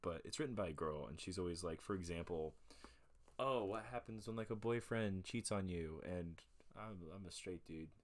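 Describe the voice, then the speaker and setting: A man hosting a casual online radio show, chatting haltingly with repeated words and fillers. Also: low voice. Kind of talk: casual chat.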